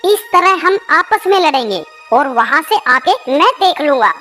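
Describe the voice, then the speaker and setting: A cartoon voice actor playing a sly, fawning village swindler who schemes and flatters theatrically, with children's music behind. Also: sits high, normal speed. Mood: scheming. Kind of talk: animated moral story character dialogue.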